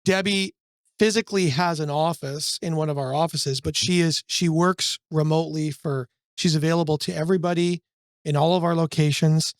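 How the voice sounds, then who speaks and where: A man on a brokerage podcast, with a voice sitting a touch above the usual male range and a slight hoarseness from a cold. He talks in a loose, rambling way, full of fillers and restarts. The sound is close and dry. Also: on the high side, fairly even intonation, normal speed, no room sound. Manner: rambling, searching for words, many fillers. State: losing his voice from a cold. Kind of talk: casual business podcast chat.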